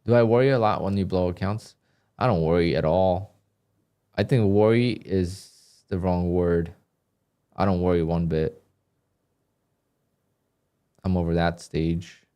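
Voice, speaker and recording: A man doing live commentary on his trading session, talking to viewers in a flat, dry way that sounds a bit annoyed even when he is content. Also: deep voice, a little slow, long pauses, clean tone. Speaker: day trader livestreamer. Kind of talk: live stream commentary, reading donations.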